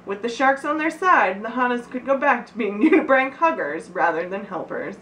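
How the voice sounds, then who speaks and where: Woman, high voice, giggly informal read-aloud, roomy sound from a distant mic. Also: animated, moderate pace, a bit bright, slight background noise. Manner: giggly, often breaking into laughter. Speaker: reader of a birthday story. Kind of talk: reading aloud informally to friends.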